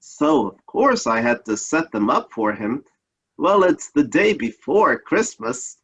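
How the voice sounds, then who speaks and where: A man's voice: a little high, sing-song, takes it slowly, gravelly, a bit bright, breathy edge, full character voice, poor audio. A man playing a genial, folksy but anxious uncle in an old-time radio play. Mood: jovial.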